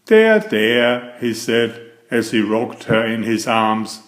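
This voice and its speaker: A man narrating a children's story in an expressive, deliberate way for young listeners. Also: lowish voice, echoey room. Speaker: storybook reader and children's author.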